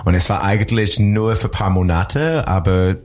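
A man's voice: on the low side, moderate pace, darkish tone. A man from Mississippi with a Southern American accent, a musician guest speaking playful, broken German. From Mississippi, USA. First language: English. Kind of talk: casual radio interview.

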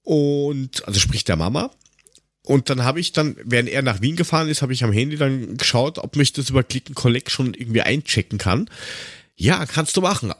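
A man's voice: medium pitch, lively intonation, fairly quick, no echo. Austrian man chatting casually, close dry sound. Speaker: podcast co-host. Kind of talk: casual chat with anecdotes.

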